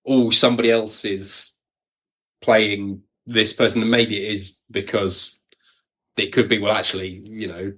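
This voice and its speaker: Male, low-pitched voice, producer guest on an interview show, casual and tentative, thinking aloud. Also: steady tone, unhurried, fairly bright tone, poor audio. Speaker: guest, audio drama producer.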